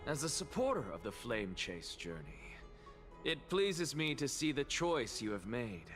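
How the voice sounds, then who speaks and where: Theatrical, arrogant scholar, sweeping intonation. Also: male voice, mid-range voice, very animated, unhurried, a bit bright, soft background music. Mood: grandly challenging. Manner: theatrical, mocking, rhetorical. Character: theatrical, arrogant male scholar, provocative and self-assured. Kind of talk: dramatic video game voice acting.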